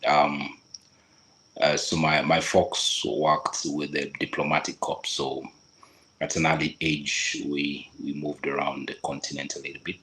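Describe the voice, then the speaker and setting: A man in his forties from Kenya, a podcast guest talking casually over a remote call in a calm, measured way. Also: a bass voice, poor audio. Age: 40s. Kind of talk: casual chat.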